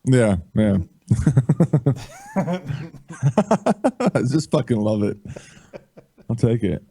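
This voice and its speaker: A man's voice: mid-range voice, sing-song, very slow, warm tone, slightly rough. A man guesting on a live show over a call, laid-back, laughing easily and swearing casually. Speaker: podcast guest. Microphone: mixer mic on a voice call.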